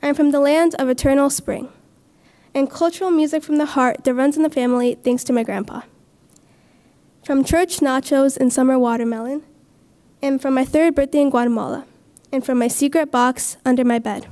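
A woman's voice: high-pitched, steady tone, normal speed, dark tone. A teenage girl reciting a poem as a student at a podium microphone. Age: teens. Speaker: student poet. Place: school stage at a podium. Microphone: gooseneck podium microphone.

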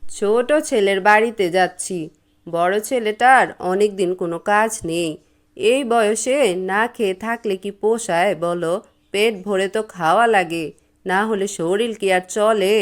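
A woman's voice: medium pitch, measured pace, lightly acted. Woman playing a plaintive, stubborn old cartoon mother. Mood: matter-of-fact. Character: elderly mother, fussy about food, self-pitying and stubborn. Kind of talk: cartoon voice acting.

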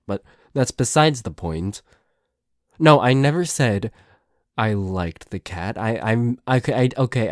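A man's voice: on the low side, no echo, clean studio sound, close to an everyday voice. A man playing a flustered, grumbling but secretly affectionate boyfriend in an ASMR roleplay, stammering and grudging as he talks. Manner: stammering, hesitant, grudging, sweary.

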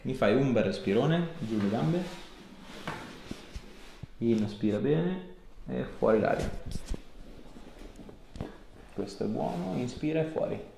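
A man's voice: medium pitch, expressive, slow, creaky, long pauses, mellow. Echoey-sounding man giving treatment instructions. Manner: informal, reassuring, short coaxing instructions. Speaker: osteopath treating a patient. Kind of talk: casual clinical instructions and chat with patient. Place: small clinic treatment room, indoors. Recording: echoey small room, background noise, off-mic.

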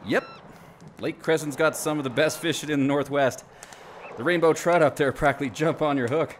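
A man's voice: fairly high pitch, a little fast, slight background noise, close to an everyday voice. An actor playing a folksy, affable man camping in his RV, making genial, neighborly small talk in a radio drama. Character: folksy, affable male RV camper.

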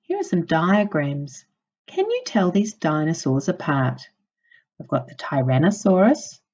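A woman's voice: low-pitched, sing-song, a little slow, a little mellow. Lady teacher reading aloud to little kids, really up and down melody, kinda muffled recording. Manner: clear, instructive phrasing for young children. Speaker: primary school teacher. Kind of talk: children's non-fiction read-aloud lesson.

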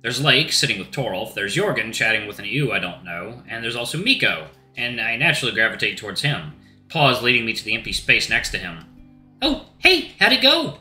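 A man hosting a Let's Play and reading a visual novel aloud, casual and slightly hoarse from being sick. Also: fairly low pitch, lively intonation, brisk, sharp tone. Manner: casual, says y'all, restarts lines. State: sick, voice deeper than usual. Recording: roomy with faint music.

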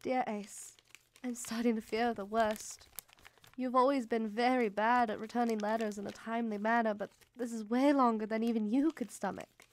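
Radio drama: devoted young Victorian mother played by a woman, slightly high voice, emotive and intimate letter reading. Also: steady tone, normal speed, a little mellow, natural voice. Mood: anxious pleading.

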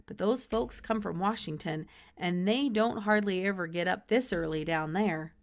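A woman voicing a friendly, chatty small-town telephone operator in a storybook, folksy and unhurried. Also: lowish voice, natural voice.

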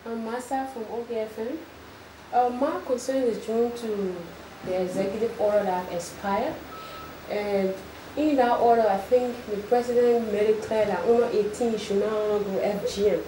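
A woman's voice: fairly high pitch, moderate pace, warm tone. A woman radio reporter, asking pointed questions at a press conference. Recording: distant and echoey with faint noise.

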